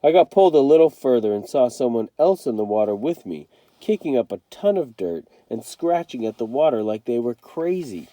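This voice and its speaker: Guy doing a storybook read aloud, really mellow dark voice, dramatic delivery, dry sound no echo. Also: mid-range voice, big pitch swings, normal speed. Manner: dramatic, acts out the dialogue. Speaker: read-aloud reader, teacher.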